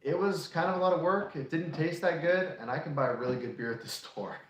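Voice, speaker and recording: A man in his late twenties, co-hosting a casual live Q&A and explaining things in a relaxed, practical way. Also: fairly high pitch, fast. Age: 20s. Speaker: co-host of a homesteading channel. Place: room in an off-grid shop. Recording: echoey room.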